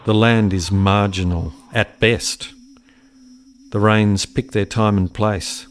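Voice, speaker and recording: A resigned farmer speaks slowly in a somewhat low voice. His delivery is sombre and plain-spoken, a weary radio-play monologue about hard years on the land. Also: steady tone, background noise. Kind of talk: reflective dramatic monologue.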